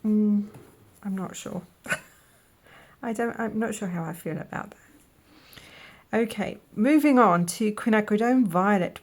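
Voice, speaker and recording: A woman speaks in an unhurried, gentle way, her voice gravelly and hoarse from a lingering cold. She muses quietly to herself, now and then slipping into a near whisper, at a middling pitch. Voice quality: croaky, gravelly. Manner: slow, soft-spoken, musing aloud, dropping to a whisper. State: croaky from a cold. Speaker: art vlogger. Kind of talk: casual voice-over while swatching paints.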